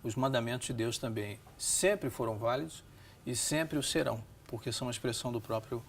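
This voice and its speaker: A man with a Brazilian Portuguese accent hosting a Bible discussion in a measured, didactic way, often seeking agreement. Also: mid-range voice, vocal fry, slight background noise. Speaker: host of a religious programme.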